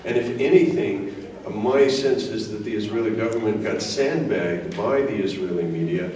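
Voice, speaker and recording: A man's voice: fairly low pitch, normal speed, a little mellow, slight background noise. A man making an impromptu argument at a public discussion, recorded in a very echoey room from a distance. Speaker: academic lecturer on a panel or in audience.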